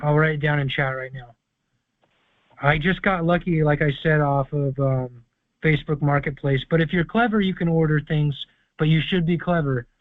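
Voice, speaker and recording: Male call-in host, higher voice, dry phone-line sound, confident long explanations. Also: steady tone, moderate pace, no room sound. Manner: confident, explaining at length with fillers. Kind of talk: casual call-in advice talk. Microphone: phone or conference call. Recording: tinny conference phone line.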